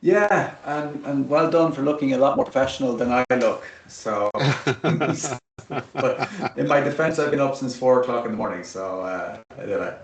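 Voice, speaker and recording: A man's voice: mid-range voice, normal speed, a little hiss or hum. A man hosts an online interview over a video call in a loose, chatty way, with jokes at his own expense. His voice sits in the middle range. It sounds roomy and a little distant from the mic. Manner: chatty, jokey and self-deprecating. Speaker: host of an online webinar. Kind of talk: casual interview hosting.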